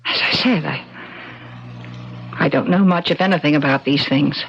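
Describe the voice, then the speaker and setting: A woman's voice: sits low, moderate pace, creaky, slight background noise. A woman acting a worried, guarded character with careful, earnest phrasing in an old-time radio mystery. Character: worried, guarded woman with polite, calculating poise. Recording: muffled old radio drama recording.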